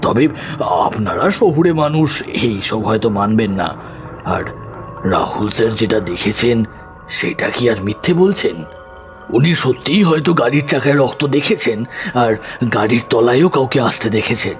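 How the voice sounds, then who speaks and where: An actor playing a deferential, superstitious village servant, humble and respectful, in a horror audio drama. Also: male voice, mid-range voice, animated, measured pace, natural voice. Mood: earnest, ominous. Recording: loud music over the voice.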